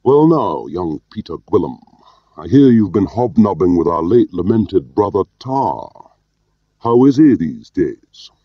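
A man's voice: sits low, very animated, a little slow, dry recording, lo-fi sound, pitched above the performer's own voice, exaggerated voice. A man voicing a growling, pompous intelligence chief with a pert Scottish brogue, in an audiobook. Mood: mock-jovial.

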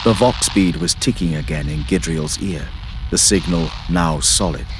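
A man's voice: deep voice, normal speed, background noise. A man giving dramatic scripted narration as the storyteller of an audio drama. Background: music.

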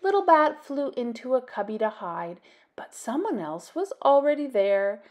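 A woman, reading a children's storybook aloud in an expressive, deliberate way. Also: sits high. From Canada. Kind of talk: animated storybook read-aloud.

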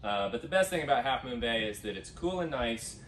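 A middle-aged man who is an organic farmer, answering interview questions in a relaxed, plain-spoken way with many fillers, recorded outdoors on a farm. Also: mid-range voice, brisk, a little hiss or hum. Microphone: camera mic from a distance. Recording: roomy, distant outdoor sound.

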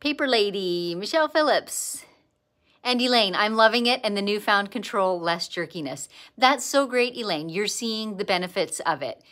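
A woman's voice: medium pitch, normal speed. An older woman from Canada with a Canadian accent, hosting a casual quilting livestream, greeting viewers by name and chatting about her craft. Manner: chatty, warm greetings to viewers, lively bursts of excitement. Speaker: quilting teacher and livestream host. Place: indoor sewing studio.

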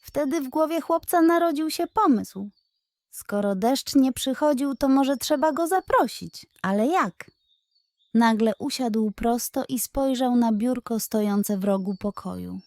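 A woman's voice: high-pitched, animated, measured pace, a little mellow, no echo, clean studio sound. A woman narrating a scripted bedtime story for children.

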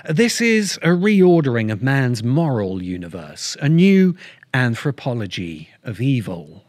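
Middle-aged male essayist-host. Measured, emphatic scripted delivery with dramatic stresses, mid-range voice, very dry sound. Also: mid-range voice, sing-song, darkish tone, no room sound. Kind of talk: scripted narration, literary essay. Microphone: close studio-style microphone on stand.

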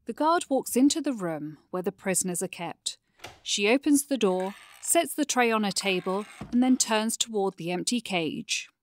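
Woman narrator, medium pitch. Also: lively intonation, normal speed, dry recording. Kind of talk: scripted fantasy narration, present tense.

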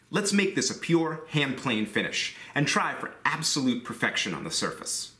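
A man's voice: medium pitch, pushed and bright, roomy sound. A man in his thirties hosting a woodworking video, talking emphatically and candidly in a small workshop. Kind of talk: instructional voice-over and talking to camera.

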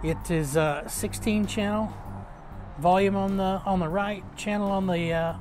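A man in his thirties giving a casual, informal review to camera as host, with a halting delivery. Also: sits high, steady tone, a little mellow, soft background music. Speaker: product reviewer, channel host.

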